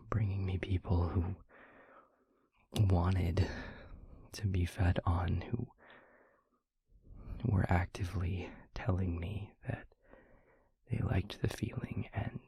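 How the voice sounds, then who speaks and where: A man playing a tender, haunted male vampire boyfriend in an intimate audio roleplay, speaking haltingly and earnestly in a breathy, husky hush. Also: sits low, no echo, heavily acted. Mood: pained, numb. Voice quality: breathy, husky near-whisper. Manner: halting, hushed, earnest delivery with heavy pauses.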